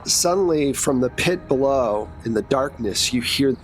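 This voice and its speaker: A man's voice: medium pitch, animated, a little slow, noisy background. A man narrating a tabletop horror game as game master, with informal, descriptive storytelling.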